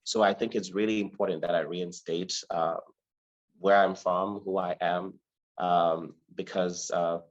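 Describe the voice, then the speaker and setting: A man from Zimbabwe answering interview questions about his life and art in a thoughtful, hesitant way, with many fillers and restarts. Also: deep voice. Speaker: artist guest. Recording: slightly dull lo-fi recording.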